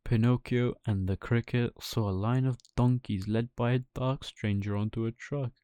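A man's voice: medium pitch, steady tone, moderate pace, warm tone, smooth, dry recording. A man reading a children's bedtime story as its narrator.

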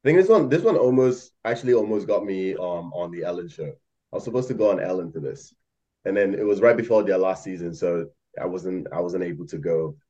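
A man's voice: low voice, fairly quick, mellow, muffled recording. A young man who grew up in Malaysia, chatting casually as a podcast guest, rambling with many fillers and laughs. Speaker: podcast guest, algo trader. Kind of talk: casual chat.

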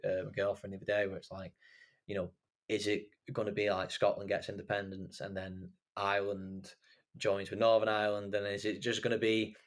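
A man's voice: lowish voice, little pitch movement, fairly quick. A young man from England hosting a podcast, chatting casually and rambling with lots of fillers and restarts. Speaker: podcast host. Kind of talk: casual chat.

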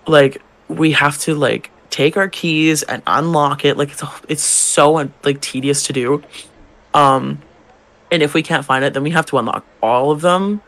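A man's voice: fairly high pitch, fairly even intonation, unhurried, fairly bright tone. A young man, a drag queen co-hosting a podcast, telling work stories in casual chat, swearing and acting out customers' lines. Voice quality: gravelly, feminine-leaning voice.

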